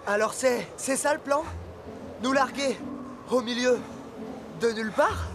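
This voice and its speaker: An actor playing an anxious, complaining young football player in a French-dubbed cartoon, whiny and doubtful. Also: male voice, very high voice, unhurried, background noise. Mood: worried.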